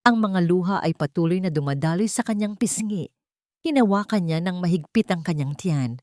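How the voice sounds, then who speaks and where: A woman's voice: lowish voice, dry recording, clean studio sound. A woman narrating a scripted dramatic story.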